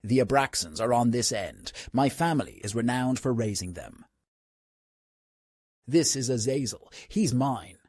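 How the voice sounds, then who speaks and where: A man's voice: mid-range voice, animated, unhurried, a little mellow, breathy edge, dry recording, studio quality. A man playing a proud, privileged but earnest young wizard boy in a comic dub. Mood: proud, casual.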